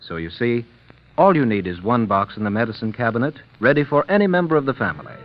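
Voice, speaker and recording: A man's voice: medium pitch, big pitch swings, fast. A man announcing a scripted old-time radio commercial with a polished, persuasive delivery. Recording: dull old radio recording, music.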